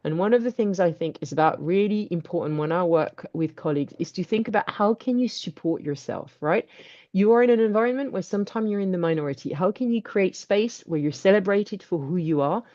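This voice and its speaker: Earnest woman talking to students, deep warm voice, muffled call sound. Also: brisk. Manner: earnest, encouraging, with fillers. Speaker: webinar speaker for a student charity. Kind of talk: reflective talk. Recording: dull lo-fi video call.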